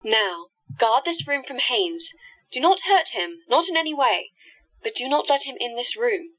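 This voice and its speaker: Radio drama seductress, played by a woman: high, breathy, musical, playfully coaxing and in control. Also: very animated, normal speed, heavily acted, poor audio. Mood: coaxing, commanding. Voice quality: soft, musical. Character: sweetly manipulative woman hypnotist, seductive and playful. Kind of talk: audio drama acting.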